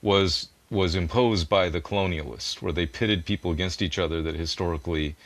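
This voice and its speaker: Deep-voiced man explaining at length. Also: brisk, dry recording. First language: English. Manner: earnest, explanatory, self-deprecating. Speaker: music producer and interview guest. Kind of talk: conversational interview, long explanations.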